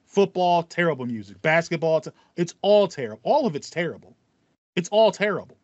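Higher-pitched man, slightly muffled. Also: a bit bright, no echo. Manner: emphatic, repeats himself for stress. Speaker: podcast co-host. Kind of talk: casual video game chat. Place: small home room with foam panels, video call. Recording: slightly dull, band-limited audio.

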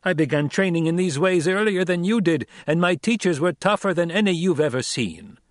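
A man voicing a young male desert leader who speaks forcefully and questions his listeners rhetorically, in an audiobook. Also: sits high, animated, brisk, dry recording, clean studio sound, voice pushed up. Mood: commanding. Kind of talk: audiobook character voice.

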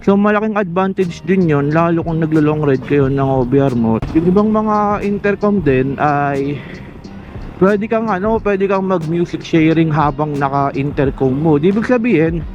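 A Filipino man with a fairly high-set voice talks in a casual, conversational explainer style, addressing the viewer directly. The sound is a bit muffled and lo-fi, with music faintly playing underneath. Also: lively intonation, moderate pace, a little mellow. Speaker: motovlogger.

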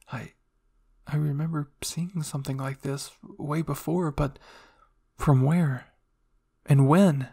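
A man's voice: medium pitch, darkish tone, breathy edge. A man reading a first-person fan fiction story as an ASMR roleplay narrator.

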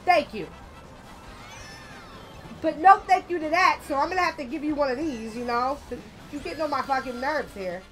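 A young woman with a slightly raspy voice from being sick, chatting casually and swearing as she plays a racing game on a headset mic. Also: high-pitched, moderate pace, long pauses, soft background music, low-quality recording. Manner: chatty, swears often, loud outbursts at the game. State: a little sick. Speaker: gaming channel host. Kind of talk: casual let's-play commentary.

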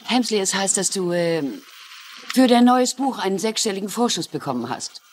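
An actress playing a sharp, sardonic estranged wife with blunt demands, in a German-dubbed mystery drama. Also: female voice, medium pitch, big pitch swings, moderate pace, a little hiss or hum, lightly acted. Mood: cold, sardonic. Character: sharp, scornful estranged wife, hard-nosed businesswoman.

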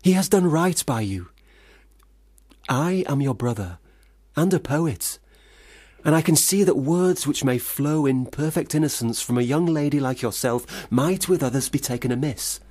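A troubled young poet in a radio drama. He has a very husky voice and speaks formally, with prim earnestness. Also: a little high, lively intonation, normal speed, darkish tone. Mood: disapproving, earnest. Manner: formal, earnest literary phrasing. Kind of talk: radio play acting.

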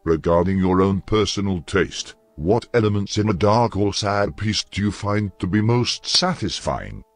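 Male interviewer, lowish voice, very dry recording, reading out prepared questions. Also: animated, unhurried, no echo, soft background music.

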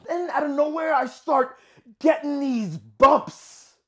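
A man performing a dramatic monologue as a tormented young man, intense and halting, moving between hushed and anguished. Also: a little high, sing-song, pitched below the performer's own voice. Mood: anguished, frantic. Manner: intense, halting, bursting from quiet murmurs into anguished shouting. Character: tormented young man haunted by shaving, swinging from hushed to frantic.

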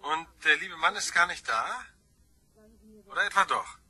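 A sly blackmailer in a crime radio drama. The voice is high, piercingly bright and rough-edged, teasing and insinuating with mock sweetness. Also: male voice, animated, moderate pace, full character voice. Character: sly, taunting anonymous blackmailing male caller.

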